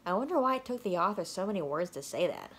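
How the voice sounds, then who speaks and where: Casting: female storybook reader, playful and expressive, widely varied intonation. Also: lowish voice, quick pace, breathy edge. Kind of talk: expressive children's read-aloud narration.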